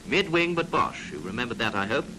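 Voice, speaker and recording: Animated 1940s British male film narrator. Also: medium pitch, fairly quick. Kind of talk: 1940s military instructional film narration, scripted. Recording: noisy 1940s film soundtrack.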